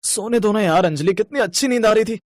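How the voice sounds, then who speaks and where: Guy doing henpecked young husband in a story, whiny high voice, grumbly, dry close sound. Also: fast talker, no echo, lightly acted. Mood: sleepy.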